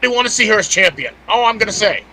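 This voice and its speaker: A man's voice: high-pitched, lively intonation, fast, pushed and bright, poor audio. A man on a podcast panel making insistent, emphatic points in casual wrestling chat.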